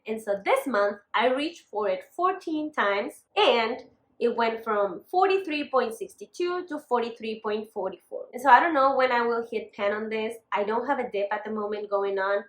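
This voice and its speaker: A young woman with a northern Mexican accent, chatting candidly in a casual makeup vlog with apologetic asides. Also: on the high side. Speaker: beauty vlogger. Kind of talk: casual makeup update talking to camera. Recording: echoey room.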